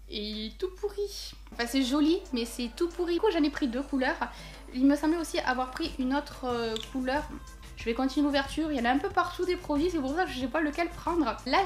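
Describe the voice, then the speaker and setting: A woman chatting casually while unboxing a parcel at home, thinking aloud with many fillers. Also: sits high. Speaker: vlogger unboxing a package.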